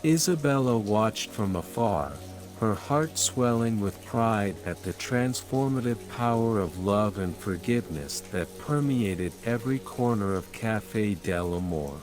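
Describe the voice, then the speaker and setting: Low, very slow male bedtime narrator. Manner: calm, measured, unhurried storytelling. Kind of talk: slow, soothing scripted narration of a sleep story. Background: calm music and rain sounds.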